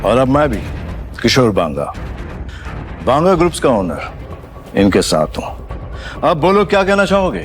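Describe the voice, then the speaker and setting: A man's voice: medium pitch, very animated, measured pace, long pauses, faint music behind. A man playing a self-important, pompous business owner in an audio drama. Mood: challenging. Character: self-important wealthy business owner, backing his allies. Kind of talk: Hindi audio drama acting.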